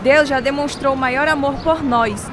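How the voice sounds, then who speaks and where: A woman's voice: high-pitched, a little slow. An actress playing a devout young church girl giving a public testimony in a radio drama. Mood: fervent. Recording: noisy outdoor street, crowd behind.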